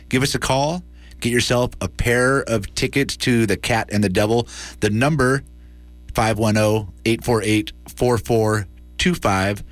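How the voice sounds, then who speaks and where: A man hosting and producing radio, announcing in a casual, live style. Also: mid-range voice.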